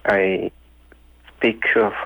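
A man whose first languages are local tongues speaks, answering as a guest performer poet slowly and deliberately. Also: on the low side, level tone, vocal fry, pushed and bright, dry recording, rough recording. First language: Ghanaian languages (Twi, Ga, Dangme, Ewe). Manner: slow and deliberate, pausing often. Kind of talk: casual interview answers.

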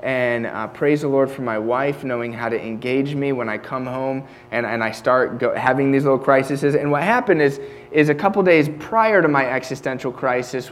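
A young man in his twenties delivers a sermon with earnest conviction. He keeps it conversational, circling back and restarting phrases as he talks, and his voice sits at an ordinary middle pitch. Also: moderate pace, slight background noise. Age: 20s. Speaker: preacher.

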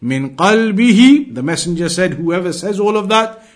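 A man's voice: high voice, lively intonation, moderate pace. A man giving an Islamic religious lesson in an emphatic, didactic manner, repeating key phrases as he explains scripture. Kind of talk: religious lecture, quoting and translating scripture.

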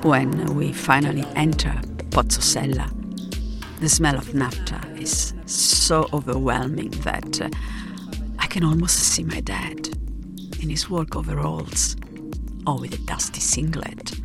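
A woman's voice: low-pitched, slow pace, creaky, long pauses. Reflective Italian-accented woman narrating over music. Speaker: narrator of an audio drama. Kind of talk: reflective, poetic scripted narration. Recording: music behind the voice.

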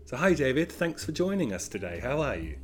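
Male podcast host, very animated intonation, mid voice, eager questioner. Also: mid-range voice, a little fast, soft background music. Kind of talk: scripted intro and casual interview questions.

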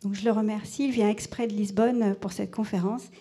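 Woman hosting a lecture, formal intro, a bit hesitant, husky and warm. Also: medium pitch, fairly even intonation, fairly quick. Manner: polite, slightly hesitant reading. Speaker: event host.

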